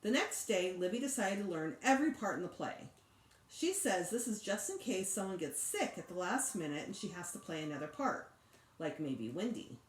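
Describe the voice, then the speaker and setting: A woman reading a children's story aloud in an expressive storytelling style, like a teacher. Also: lowish voice, moderate pace. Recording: roomy echo.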